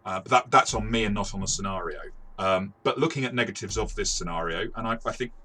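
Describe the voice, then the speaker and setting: A man in a conversational game review, speaking in a hesitant, halting way and often correcting himself. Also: fairly low pitch, bright, forceful tone. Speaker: game reviewer and experienced game master.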